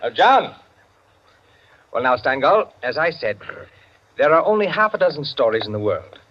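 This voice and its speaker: Pompous high-voiced clubman, old radio. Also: very animated, moderate pace. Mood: hospitable. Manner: pompous, declamatory, hesitant asides. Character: opinionated clubman holding forth, genial and pompous. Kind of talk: 1940s radio acting. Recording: dull, hissy old radio recording.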